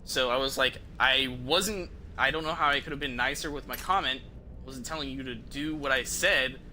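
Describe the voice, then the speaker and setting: A man's voice: medium pitch, normal speed, bright, forceful tone, a little hiss or hum, low-quality recording. A man doing casual, profane and slangy commentary as he livestreams a video game. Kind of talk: casual gameplay commentary to stream viewers.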